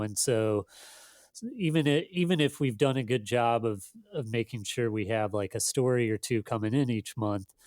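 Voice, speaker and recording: A man speaking as an interviewed editor, casual and halting, thinking aloud with lots of conversational fillers. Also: on the low side, a little mellow, no echo, studio quality. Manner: thinking aloud, halting, peppered with "you know" and "like". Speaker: magazine editor being interviewed.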